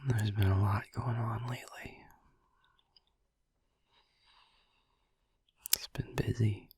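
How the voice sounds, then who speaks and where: A man playing a gentle, devoted vampire boyfriend in an intimate ASMR roleplay, whispering breathily and soothingly with long pauses. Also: on the low side, little pitch movement, a little hiss or hum, natural voice. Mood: soft, reassuring.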